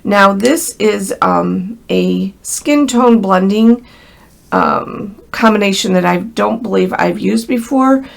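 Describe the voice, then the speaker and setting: A woman's voice: mid-range voice, slow, gravelly. An American woman with a crackly voice from a cold gives a casual, chatty hands-on craft tutorial, speaking directly and in a friendly way to viewers. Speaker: craft instructor.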